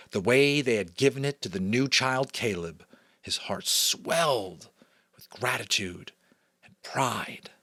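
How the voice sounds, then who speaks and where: A middle-aged American man reading a novel aloud theatrically, with hushed asides and casual commentary. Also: on the low side, dry recording, clean studio sound. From United States. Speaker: audiobook reader and host.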